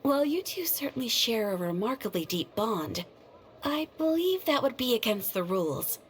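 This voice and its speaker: Casting: courteous game guild receptionist, highish voice, very melodic intonation, formal and composed. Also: female voice, sing-song, a little hiss or hum. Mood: courteous, helpful. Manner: polite, formal, measured explanation. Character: polite, composed female adventurers' guild receptionist, helpful and formal. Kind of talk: video game character acting.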